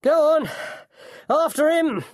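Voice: high voice, expressive, measured pace, no room sound, pitched above the performer's own voice. A narrator voicing a bluff, bossy male coachman in an audiobook, bellowing heartily. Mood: urgent shouting. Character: bluff, commanding coachman, a man, loud and hearty.

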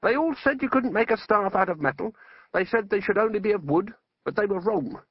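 Bitter, high-strung exiled wizard played by a man. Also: animated, brisk, slightly rough, highs cut off. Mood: proud, brooding. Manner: impassioned, indignant, snarling. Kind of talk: audiobook character voice.

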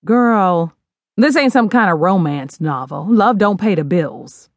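A woman's voice: on the low side, lively intonation, a little slow, no echo. A woman voicing a blunt, scolding older mother, brassy and plain-spoken, in an audiobook. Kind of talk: audiobook character voice.

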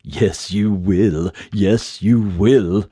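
A man's voice: lowish voice, warm tone, breathy edge, no echo, clean studio sound, full character voice. A man voicing a mysterious disembodied presence in a storybook, whispery, teasing and repeating itself. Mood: eerie, luring. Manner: slow, teasing, repetitive.

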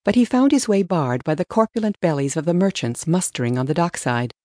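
A woman's voice: fairly low pitch, animated, fairly quick, mellow, no echo, clean studio sound. A woman reading scripted story narration as an audiobook narrator.

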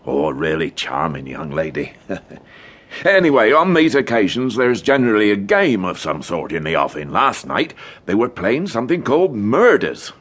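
Husky, chatty village police sergeant. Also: male voice, fairly low pitch, very animated, moderate pace, a bit bright, heavily acted. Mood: deferential, chatty. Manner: chatty, deferential, full of sirs. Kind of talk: radio detective drama acting.

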